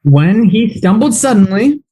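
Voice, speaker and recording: An actor playing the deliberate, dramatic narrator of an old-time style detective radio play. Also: male voice, sits high, sing-song, heavy background noise. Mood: dramatic, suspenseful. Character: melodramatic detective-serial narrator.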